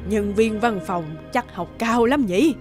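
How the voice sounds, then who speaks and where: A stern, suspicious cartoon mother, acted by a woman, speaks in a fairly high voice. She is curt and sarcastic, and music plays clearly behind her. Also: a little slow, close to an everyday voice. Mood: cool, suspicious. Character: stern, suspicious older mother, proud and accusing. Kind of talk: Vietnamese cartoon dialogue.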